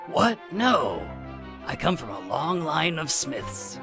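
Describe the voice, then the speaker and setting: A boastful, goofy death god from a cartoon parody, acted by a man. The voice is raised and gravelly, with theatrical, swooping delivery over clear background music. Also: fairly high pitch, big pitch swings, takes it slowly, heavily acted. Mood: proud, indignant. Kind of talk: comedic parody cartoon dub. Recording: music behind the voice.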